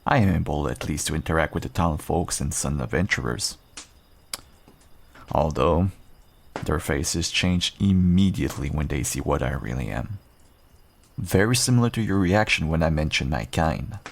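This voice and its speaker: A man playing a guarded but kind half-wolf rogue in an intimate fantasy audio roleplay, unhurried and dryly understated. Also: expressive, slight background noise, heavily acted. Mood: wary, resigned. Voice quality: low, gravelly, husky. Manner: unhurried, dry, understated, self-deprecating.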